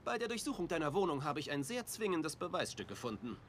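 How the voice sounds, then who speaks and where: A man's voice: fairly high pitch, very animated, fast, fairly bright tone, slight background noise. A man playing an eccentric, cheeky, self-assured private detective in a whimsical, theatrical dubbed TV drama style. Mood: grandly confident. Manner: whimsical, theatrical, swings from grand to offhand. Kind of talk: dubbed TV comedy-mystery drama acting.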